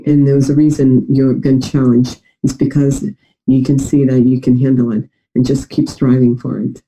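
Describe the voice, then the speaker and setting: A woman from Russia with a New York-sounding American accent, a bit nasal from a recent cold, speaking earnestly with many pauses and fillers in a video-call interview. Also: a bass voice, level tone, dark tone, slight background noise, low-quality recording. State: slightly nasal from recent illness. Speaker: interview guest, adoptee.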